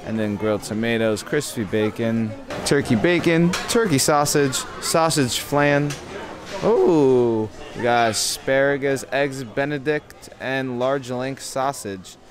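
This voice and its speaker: A man's voice: mid-range voice, slow, a crowd in the background. A young man in his twenties casually vlogging with an upbeat, chatty manner, holding the camera at arm's length in an indoor ship restaurant. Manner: upbeat, chatty, reads signs aloud. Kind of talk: casual travel vlog narration. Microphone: handheld camera at arm's length. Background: background music playing.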